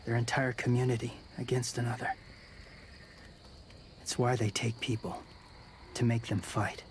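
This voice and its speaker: A man plays a gentle, world-weary young wanderer in a video game drama. His middle-pitched voice barely moves, doling out short, guarded fragments in a subdued way. Light outdoor noise sits behind him. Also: little pitch movement, a little slow, close to an everyday voice. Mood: grave, calm. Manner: terse, clipped fragments, subdued.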